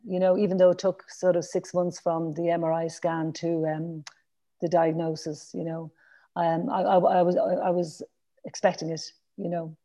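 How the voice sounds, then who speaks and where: A woman's voice: fairly low pitch, dark tone. A woman in her fifties with a Dublin Irish accent, chatting as a podcast guest in a rambling way, with restarts and fillers. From Ireland. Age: 50s. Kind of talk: casual interview conversation.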